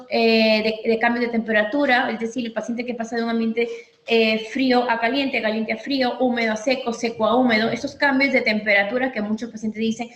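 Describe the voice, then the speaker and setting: A woman physician giving a medical training lecture, explaining step by step and often checking in with her listeners. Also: on the high side. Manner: didactic, repeats points, checks in with "¿ok?". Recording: echoey room.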